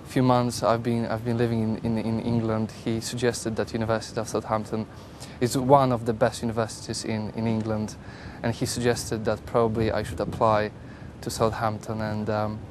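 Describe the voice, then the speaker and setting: A man's voice: on the low side, monotone, normal speed, hardly any pauses, a little hiss or hum. A young man from Poland with a Polish accent, a psychology student giving hesitant interview answers and often repeating words.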